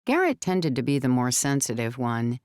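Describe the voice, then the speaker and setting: A woman narrating scripted fiction as an audiobook, in a measured storytelling manner. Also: rumbling low, sing-song, a little mellow, no echo, clean studio sound.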